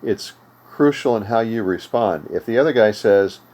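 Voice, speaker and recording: An older man with an American accent giving an informal instructional talk, in halting, measured explanations with pauses. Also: on the low side, darkish tone. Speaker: host of amateur radio tutorial series. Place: home radio room.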